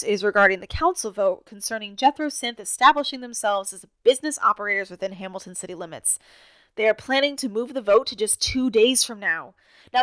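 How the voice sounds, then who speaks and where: A woman's voice: a little high, fairly bright tone, close to an everyday voice. A woman playing an outspoken radio host in an audio drama, with a dry, pointed, sardonic way of talking. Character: outspoken, exasperated female radio host, wry and determined.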